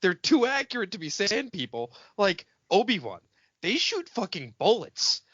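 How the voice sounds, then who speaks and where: Animated, bright-voiced man, fairly high. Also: normal speed, breathy edge, dry recording, muffled recording. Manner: nitpicky, sweary, animated. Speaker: podcast co-host. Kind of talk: casual film-fan banter.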